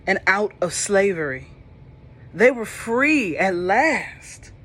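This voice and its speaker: A woman reading a children's Bible storybook aloud, slow and expressive, with soft whispered moments and playful asides to young listeners. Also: mid-range voice, a little hiss or hum. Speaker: storybook reader.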